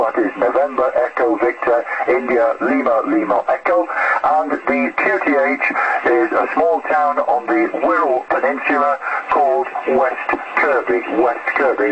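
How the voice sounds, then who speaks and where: A man from England working as an amateur radio operator, talking in a measured conversational way over a shortwave link. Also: mid-range voice. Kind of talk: ham radio contact chat. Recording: muffled, very noisy shortwave radio reception.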